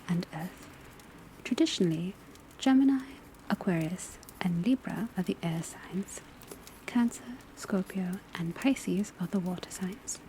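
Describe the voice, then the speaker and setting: A woman whispers a classroom lecture in a soft, breathy, mellow voice. She is measured and instructional, like a calm teacher at a school of magic. Crackling fire, rain on the roof and pencil sounds sit noticeably behind her. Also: medium pitch. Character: calm female witch-school divination professor. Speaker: ASMR performer playing a divination class teacher. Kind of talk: ASMR roleplay, scripted classroom lecture. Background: crackling fireplace, rain on rooftop, pencil writing.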